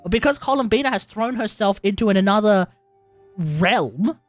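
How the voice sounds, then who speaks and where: A woman's voice: mid-range voice, normal speed, no room sound, soft background music. A woman streamer chatting casually as she wraps up a stream, rambling with lots of "like" and laughing. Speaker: game streamer.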